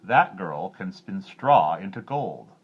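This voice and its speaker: Foolish fairy-tale miller, voiced by a male reader: boastful, emphatic, husky, sweeping intonation. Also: deep voice, sing-song, slow pace, highs cut off, heavily acted. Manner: bragging, emphatic.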